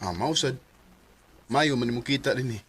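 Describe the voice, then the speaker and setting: An actor playing a cheeky, carefree young buddy in a Bisaya radio drama, with a Cebuano Filipino accent. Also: male voice, mid-range voice, normal speed, a bit bright, breathy edge. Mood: friendly.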